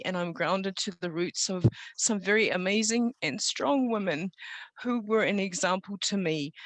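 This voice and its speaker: A middle-aged woman with a New Zealand accent, sharing personal motivational stories in an earnest, reflective and deliberate way on an online call. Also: mid-range voice, fairly bright tone, no echo, poor audio. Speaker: guest storyteller. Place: online video gathering. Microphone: video call.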